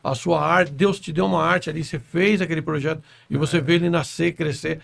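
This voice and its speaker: A man's voice: fairly high pitch, fairly even intonation, a little fast, gravelly, a bit bright. A middle-aged man, a carpenter guest, chatting earnestly on a podcast and often checking in with "understand?". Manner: earnest, keeps adding "entendeu?" and "tá?". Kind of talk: casual podcast chat.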